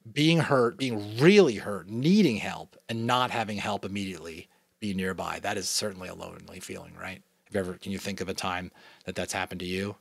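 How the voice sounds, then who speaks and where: A man with a New England American accent giving an expressive dramatic reading of a novel, with chatty asides to listeners. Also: lowish voice, vocal fry, no echo, studio quality. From New England, United States. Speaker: audiobook reader.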